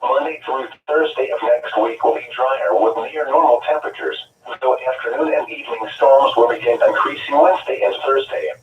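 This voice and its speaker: A man's voice: medium pitch, brisk, distant mic, dull audio. Male weather radio announcer, formal scripted read, phone-thin, echoey and noisy relay. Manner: formal, impersonal. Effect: weather radio broadcast. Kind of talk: scripted weather forecast reading.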